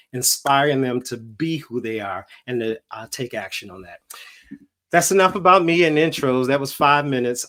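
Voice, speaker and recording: A man's voice: mid-range voice, fairly bright tone. A man hosting a casual online conversation, talking in a friendly way and often repeating words. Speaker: host.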